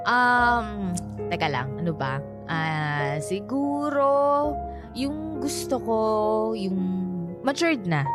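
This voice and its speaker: An actress playing a friendly young Filipino woman in a radio drama, speaking politely with a playful, slightly hesitant manner and a Filipino accent. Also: on the high side. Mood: shy. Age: young adult. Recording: background music.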